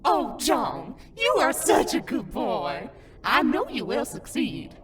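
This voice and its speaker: A ghostly grandmother sprite, sweet and cryptic, with a high voice that swoops wildly. She dotes theatrically in a fandub cartoon style, over faint noise. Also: very animated, takes it slowly, heavily acted. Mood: doting. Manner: doting, theatrical, sing-song.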